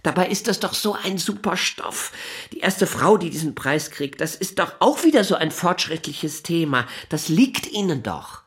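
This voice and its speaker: A talky editor in a radio drama, animated, keeps tripping over his own sentences, kinda condescending. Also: on the high side. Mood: baffled, exasperated. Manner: rambling, halting, self-interrupting. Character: flustered, talkative male newspaper science editor, condescending yet well-meaning. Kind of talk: naturalistic German radio drama dialogue.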